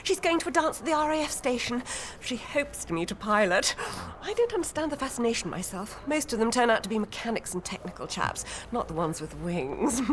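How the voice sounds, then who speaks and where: Posh flippant english socialite wife, high swoopy voice, chatty, old-time radio play. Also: female voice, a little hiss or hum. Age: middle-aged. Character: breezy, snobbish middle-aged English socialite wife. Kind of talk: period audio drama acting.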